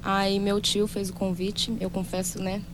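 A woman from Brazil giving a soft-spoken, halting and heartfelt personal testimony. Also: mid-range voice, little pitch movement, noisy background.

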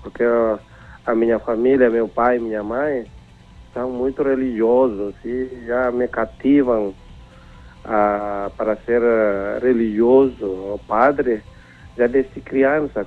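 Slow, gravelly man over a phone line. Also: medium pitch, fairly even intonation, faint music behind. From Timor-Leste. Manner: halting, searching for words, chuckling. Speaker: priest and phone-in guest. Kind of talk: phone interview.

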